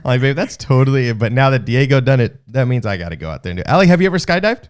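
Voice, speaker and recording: A man's voice: mid-range voice, animated, very fast, smooth. A man hosting a casual podcast interview, upbeat and chatty. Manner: upbeat, chatty, reads sponsor spots. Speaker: podcast host.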